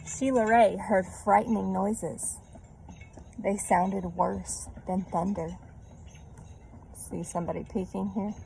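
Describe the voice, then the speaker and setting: A woman reads a picture story aloud at an unhurried pace, with noticeable creak in her voice. She breaks off for chatty asides and checks in with small tag questions. Faint noise sits behind her. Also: mid-range voice, mellow, rough recording.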